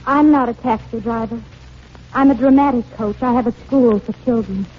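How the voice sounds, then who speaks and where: An actress playing a guilt-stricken, lovesick drama coach in a 1940s radio play, earnest, hesitant and melodramatic. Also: female voice, on the high side, normal speed, husky. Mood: confessing. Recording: muffled 1940s radio recording with noise.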